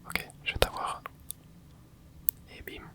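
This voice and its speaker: A host whispering casual ASMR commentary over gameplay, reacting excitedly and chatting to viewers. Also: takes it slowly. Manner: casual, chatty, self-correcting. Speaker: channel host.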